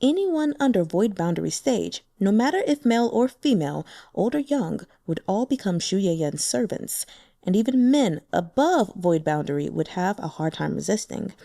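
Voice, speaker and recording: A woman narrates a translated fantasy novel with lively, varied intonation and gives the characters their own voices. Her voice is mellow and warm, recorded close on a dry mic. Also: mid-range voice, normal speed, gravelly, no echo. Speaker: audiobook narrator.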